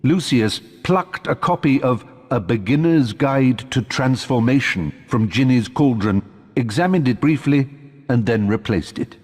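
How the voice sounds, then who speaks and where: A man narrates a story in a husky, unhurried voice. His pitch sits on the low side but moves with lively expression, delivered as scripted storybook reading. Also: big-room sound.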